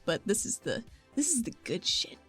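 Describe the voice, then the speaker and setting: A woman's voice: medium pitch, sing-song, normal speed, fairly bright tone, breathy edge, soft background music. A woman chatting casually as a player in a tabletop roleplaying game, informal and wry.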